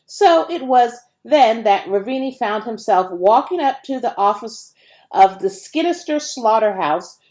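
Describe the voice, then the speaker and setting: Woman reading a story with lively, swooping pitch. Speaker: audiobook reader. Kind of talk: scripted storybook narration.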